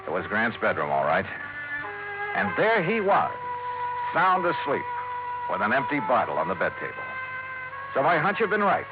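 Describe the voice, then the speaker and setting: A thin, tinny 1950s radio recording with music underneath carries a hard-boiled American detective. He is wry and tough, narrating and talking in clipped lines with very lively intonation. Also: medium pitch, normal speed, pauses often. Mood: tense narration. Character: wry, hard-boiled male private investigator. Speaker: lead actor in a detective radio serial.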